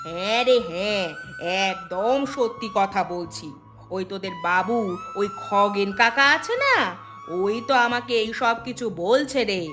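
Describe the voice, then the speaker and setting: A woman's voice: medium pitch, a little slow, natural voice. A woman voicing a scheming, vengeful village gossip in a cartoon, with an insistent, dramatic manner and music behind. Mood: insistent, exasperated. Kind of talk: Bengali cartoon voice acting.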